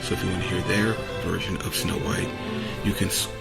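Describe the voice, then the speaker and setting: A man's voice: low voice, steady tone, brisk, vocal fry, over loud music. A man hosting a podcast, giving a casual spoken introduction. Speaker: podcast host.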